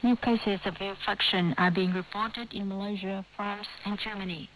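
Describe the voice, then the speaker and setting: A woman's voice: medium pitch, fairly even intonation, moderate pace, a bit bright, slight background noise, rough recording. A woman reads scripted news as a radio presenter over a shortwave broadcast.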